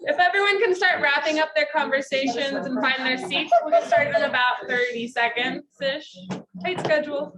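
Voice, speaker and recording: A woman's voice: sits high, normal speed. A woman organizer raising her voice to call a room to order. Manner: raised, projecting voice. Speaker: event organizer. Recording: distant mic, very loud crowd chatter.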